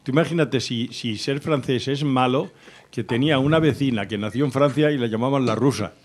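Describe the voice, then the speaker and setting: A man's voice: medium pitch, brisk. Joking man in group banter. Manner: joking, plays along with the banter. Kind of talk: casual chat.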